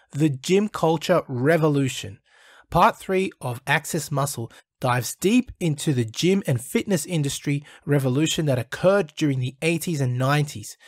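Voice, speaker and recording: A man's voice: fairly high pitch, no echo, studio quality. A man hosting his channel, reading an earnest, praising voice-over review of a documentary. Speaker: channel host reviewing a film.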